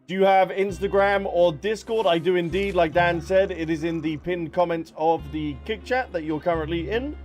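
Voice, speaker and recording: A man's voice: high voice, normal speed, hardly any pauses, a little mellow, faint music behind. A man livestreaming a game, chatting casually and playfully as he reads and answers viewers. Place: behind: game music.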